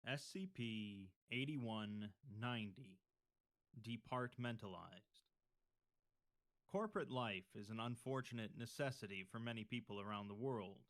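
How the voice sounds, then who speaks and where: A man reading a scripted horror-fiction story in a measured, documentary-style narration. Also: medium pitch, fairly bright tone.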